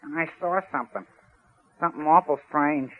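A man's voice: a little high, fairly quick, lightly acted. A man playing a naive, earnest young newspaper office boy in an old radio drama, with a hesitant, sheepish, folksy way of talking. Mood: hesitant, uneasy. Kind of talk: 1940s-50s radio drama acting. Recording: dull vintage radio recording.

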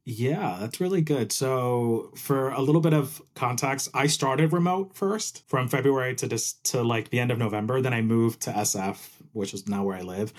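A man's voice: medium pitch, moderate pace, hardly any pauses. A young man from Puerto Rico, raised in New Jersey, chatting eagerly as an interview guest and often tagging "right?" onto his points. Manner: enthusiastic, often ends with "right?". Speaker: interview guest, program manager. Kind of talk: casual interview chat.

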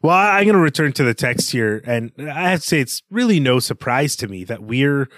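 A man with a medium-pitched voice talks as a podcast co-host, with very little room sound. He is analytical and reflective about books, often circling back to restate a point. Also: very animated, normal speed, fairly bright tone, no echo, clean studio sound. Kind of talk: analytical book discussion.

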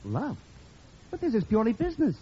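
An actor playing a polite, businesslike gentleman caller in old-time radio comedy, formal and hesitant, easily exasperated. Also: very high voice, wildly swooping pitch, brisk, husky, heavily acted. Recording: noisy, muffled old radio recording.

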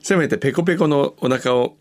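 A man's voice: mid-range voice, a little fast. A man hosting a casual radio chat, playfully drawing out his reactions as he opens listener gifts and reads messages. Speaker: radio show host.

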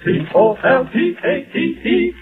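A man's voice: very high-pitched, animated, measured pace. A man singing a sponsor jingle in 1940s radio style. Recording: muffled old radio recording with music.

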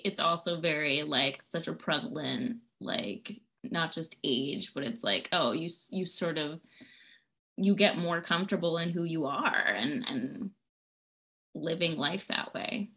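A woman in her thirties with a bright, forward voice and a slightly low pitch. She chats casually as a podcast guest, warmly enthusiastic and laughing between phrases. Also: a little slow. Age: 30s. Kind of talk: casual chat.

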